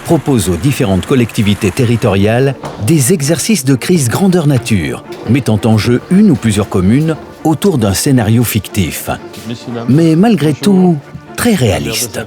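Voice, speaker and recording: A man's voice: medium pitch, sing-song, normal speed. A man reading scripted documentary narration as a voice-over. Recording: music bed under voice. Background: music.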